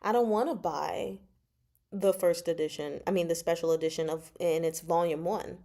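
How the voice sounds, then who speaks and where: Female livestream host, slightly lower register, informal and playful, rambling through her thoughts while talking with viewers. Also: fairly even intonation, normal speed, vocal fry, warm tone. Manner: rambling, thinking aloud, playful. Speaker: host of a book discussion livestream. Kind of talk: casual chat, answering viewer comments.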